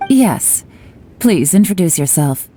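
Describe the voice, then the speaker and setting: Mellow, composed female official. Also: on the low side, big pitch swings, slow, a little hiss or hum. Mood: brisk. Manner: formal, businesslike, assured. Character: composed, authoritative female government official, shrewd and brisk. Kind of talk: video game voice acting.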